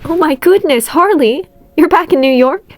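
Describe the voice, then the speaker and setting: A protective aunt acted out by a woman reading a story, pitched up and lively. She fusses and questions, keen to know everything about the girl in her care. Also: moderate pace, dry recording, natural voice. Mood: delighted. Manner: fussing, questioning.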